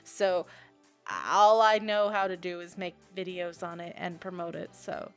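A woman's voice: lowish voice, fairly even intonation, measured pace, soft background music. A young woman rambling casually to viewers in an informal, self-deprecating, giggly manner, as a video creator. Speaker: video creator reviewing software. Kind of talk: casual rambling chat to viewers over a screen recording.

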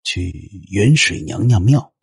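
A man voicing a gentle, courteous, thoughtful Taoist cultivator in an audio drama, speaking formally and deliberately. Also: on the low side, lively intonation, gravelly, slightly rough, no room sound, heavily acted. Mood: polite.

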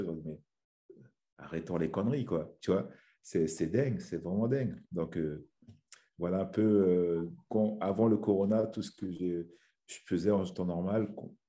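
Brief: man, 30s, deep and very dark voice, rambling casual guest on a webcam call from home. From French Guiana. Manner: rambling with many restarts and fillers. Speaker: podcast guest, fitness influencer. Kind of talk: casual video-call interview. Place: small room at home. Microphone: webcam on a video call.

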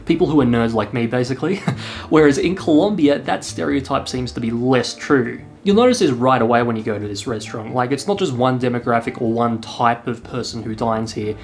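A man's voice: medium pitch, brisk. Chatty young man reviewing restaurants. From Australia. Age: young adult. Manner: chatty, self-deprecating, frequent uh fillers. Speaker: travel vlogger. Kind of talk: scripted travel review. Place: indoor room with brick wall and couch.

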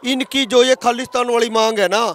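A man's voice: very high-pitched, fairly even intonation, a little fast, fairly bright tone. A man in his thirties with a Haryanvi accent, speaking emphatically and argumentatively in a street interview as a protesting farmer. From Haryana, India. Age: 30s. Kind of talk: street interview, impassioned political talk. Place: outdoors at a roadside protest camp. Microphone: handheld interview microphone.

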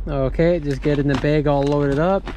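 A man's voice: fairly high pitch, fairly even intonation, normal speed. A man, casually narrating a travel vlog and describing each step to his viewers as he waits in a line of cars. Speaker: travel vlogger. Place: car line and ferry terminal. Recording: loud vehicle and outdoor noise.

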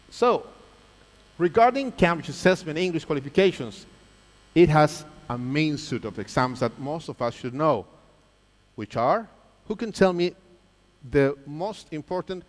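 Man, thirties, lively stage presenter quizzing a big audience, ringing hall reverb. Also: on the high side. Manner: lively, engaging, asks the crowd questions. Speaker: presenter at a teachers' convention. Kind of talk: live quiz-style presentation to an audience. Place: large auditorium stage.

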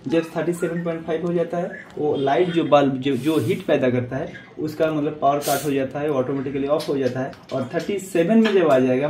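A man talks casually and explains things step by step, like a breeder showing viewers around his birds. His voice sits a little high. The sound is roomy and echoey, with clear noise underneath. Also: a little mellow. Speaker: parrot breeder and channel host.

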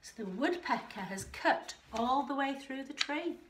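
Slow, high-voiced woman reading a storybook. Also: expressive, noisy background. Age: middle-aged. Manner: deliberate, rhythmic reading with chatty asides. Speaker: teacher reading a picture book aloud. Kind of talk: expressive storytime reading for young children. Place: living room at home. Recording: roomy, echoing home living room.

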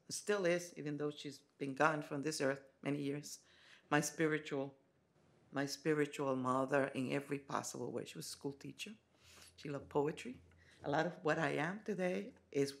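Woman from the dominican republic, college president talking at a forum, gravelly voice, lots of uh and um, big meeting room. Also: low voice, a bit bright. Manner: halting, with many fillers and restarts. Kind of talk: forum address.

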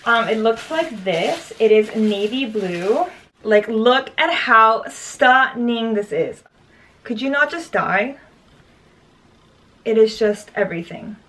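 A woman's voice: a little high, expressive, slow, fairly bright tone. A woman in her twenties chatting in a casual haul vlog from her bedroom, gushing and chatty with lots of "like". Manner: gushing, chatty, full of "like" and "stunning". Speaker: vlogger. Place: bedroom at home.

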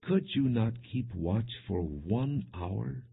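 A man's voice: fairly low pitch, expressive, takes it slowly, creaky, breathy edge, voice pushed up, heavily acted. A man reading aloud the words of a grave, troubled, commanding male teacher, solemn and emphatic, in an audiobook. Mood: urgent, reproachful. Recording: muffled, narrow phone-like sound.

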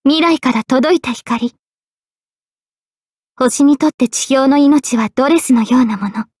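Anime game role: regal young female sword spirit, high-pitched, gentle, measured and polite, very dry studio sound. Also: breathy edge, no room sound. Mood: composed, earnest. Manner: poised, polite, measured delivery. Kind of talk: Japanese anime game voice acting. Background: faint background music.

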